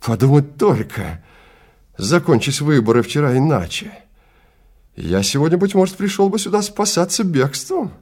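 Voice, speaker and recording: Man acting nervous male client, excitable, dramatic exclamations, audio drama. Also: a little high, moderate pace, pitched above the performer's own voice. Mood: awed, relieved.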